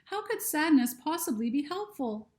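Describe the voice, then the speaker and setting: A woman's voice: high-pitched, big pitch swings, moderate pace, darkish tone, pitched above the performer's own voice. Woman voicing earnest, tearful girl Lucy.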